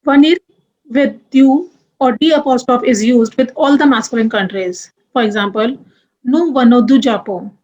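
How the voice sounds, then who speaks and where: A young woman teaching French grammar, moving deliberately through examples and spelling out letters one by one. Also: fairly high pitch, a little mellow, highs cut off. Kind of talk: grammar lesson.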